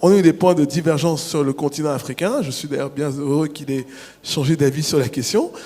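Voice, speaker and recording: A man from Cameroon, an author on a public panel, answering questions in a measured, explanatory way with frequent restarts. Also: fairly high pitch, fairly even intonation, warm tone. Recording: long reverb from a large hall.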